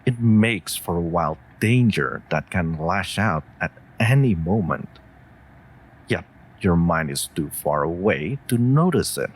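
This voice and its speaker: A man's voice: sits low, animated, slow, slightly rough, heavily acted. A man playing a devoted, worried wolf familiar in a comforting audio roleplay, speaking tenderly and formally to a mage. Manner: tender, earnest, formal phrasing.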